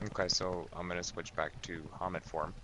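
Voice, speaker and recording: Low, flat-voiced man playing a tabletop game. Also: fairly quick, a little hiss or hum. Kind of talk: casual game chat.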